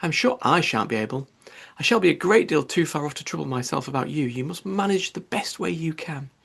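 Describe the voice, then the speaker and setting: A man's voice: on the high side, animated, a little fast, creaky, fairly bright tone, slightly rough, full character voice. A man voicing an anxious, chattering young girl from a storybook, musing fretfully to herself. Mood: bewildered, chattering. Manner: muses aloud to self, fretful. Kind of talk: storybook character voice.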